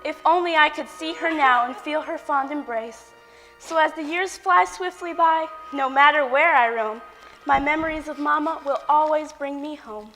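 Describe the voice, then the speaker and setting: A woman's voice: sits high, moderate pace, bright, forceful tone, faint music behind. A woman playing a devoted, earnest grown daughter with a dramatic streak, delivered theatrically for a stage comedy. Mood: sentimental. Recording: echoey hall with long reverb.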